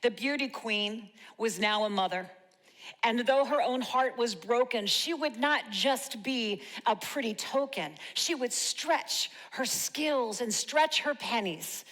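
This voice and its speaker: Slow, dramatic woman in a reverberant hall. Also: fairly high pitch, expressive, fairly bright tone. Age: 50s. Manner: dramatic, deliberate storytelling delivery with pauses. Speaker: church monologue performer. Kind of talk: rehearsed spoken-word monologue in rhyme.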